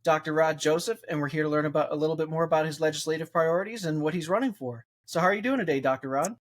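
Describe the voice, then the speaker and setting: A man's voice: a little high, fast. A man interviewing a candidate in a casual, upbeat and encouraging way.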